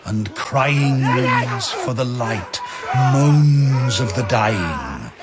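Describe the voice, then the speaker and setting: A man's voice: mid-range voice, lively intonation, drawn-out words, over loud music. A man narrating epic verse as a drama, in a grave, theatrical, declamatory manner.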